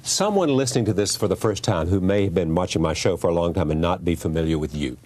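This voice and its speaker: Deep, mellow older talk show host. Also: male voice, expressive, quick pace, soft background music. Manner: pressing questions, interrupts. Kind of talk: probing interview questions.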